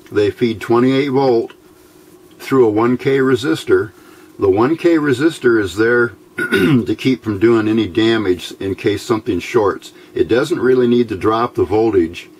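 A middle-aged American man giving an informal, unscripted technical explanation in a plain-spoken, folksy, halting way, recorded on a camera microphone at a workbench. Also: lowish voice, breathy edge. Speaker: electronics hobbyist presenter. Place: indoors at a workbench. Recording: noisy home-made camera audio.

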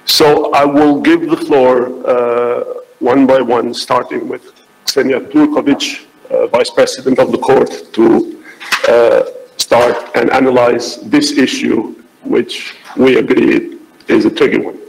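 A man's voice: mid-range voice, flat delivery, slow, vocal fry, in a large hall, poor audio. A middle-aged man moderating a formal conference panel into a desk microphone, hesitant, with many fillers and restarts. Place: large conference hall.

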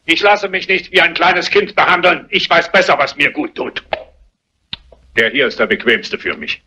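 Cantankerous, sharp-voiced old man. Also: on the high side, lively intonation, normal speed, a little hiss or hum. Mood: querulous. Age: older. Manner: grumbling, querulous. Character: cantankerous, stubborn old family patriarch, querulous. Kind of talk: German dubbed crime film dialogue.

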